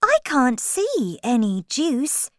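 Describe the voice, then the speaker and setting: A woman's voice: high voice, wildly swooping pitch, slow, no room sound, studio quality, pitched above the performer's own voice, heavily acted. A woman voicing a polite but indignant young girl in a children's story, with careful, spaced-out words. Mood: puzzled. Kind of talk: slow children's storybook character voice.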